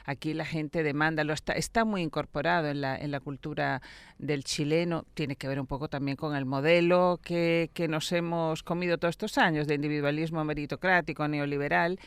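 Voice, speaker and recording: A woman from Venezuela answers a radio interviewer's questions in a thoughtful, measured way, often repeating a word as she gathers her point. Her voice is smooth and resonant and she sounds close to the studio microphone. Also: low-pitched, flat delivery, dry recording. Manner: reflective, measured, with repeated words. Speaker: political scientist guest.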